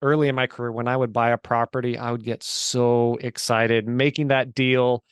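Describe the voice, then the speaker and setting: A man just turned forty, speaking at a middle pitch in an earnest, emphatic storytelling manner. His voice is recorded close and dry, with no room sound. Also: flat delivery, moderate pace, clean tone, dry recording. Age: 40s. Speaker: guest. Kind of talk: casual interview chat.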